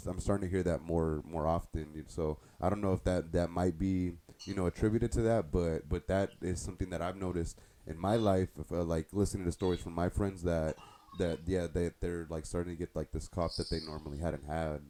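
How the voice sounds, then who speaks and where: Man, young adult, low-pitched, dark tone, hesitant, casual chat, faint background noise. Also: fairly even intonation, brisk. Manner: hesitant, many restarts, slangy. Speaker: podcast co-host.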